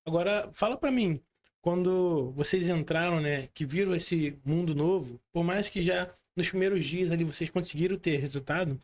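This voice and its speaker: A man's voice: a little high, normal speed, a little mellow. A Brazilian man speaking as a podcast host, interviewing guests conversationally with an enthusiastic manner.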